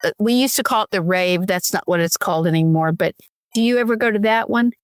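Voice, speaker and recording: A woman's voice: mid-range voice, fast talker, no echo, studio quality. A woman hosting a casual interview, friendly and rambling, often restarting her sentences. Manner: friendly, rambling, self-correcting. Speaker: podcast host.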